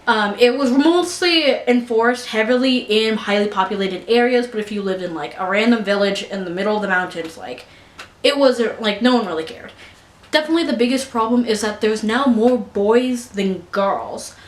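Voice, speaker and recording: A woman's voice: a little high, moderate pace. A young American woman talking earnestly to camera in a personal vlog, stumbling and restarting her sentences now and then. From United States. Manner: earnest, frequent fillers and restarts. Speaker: vlogger. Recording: echoey room.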